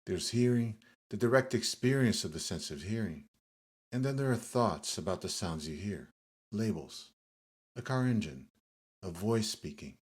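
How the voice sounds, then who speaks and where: Male meditation guide, low and a little breathy-rough, delivering yoga nidra instructions in measured, pause-filled phrases. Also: animated.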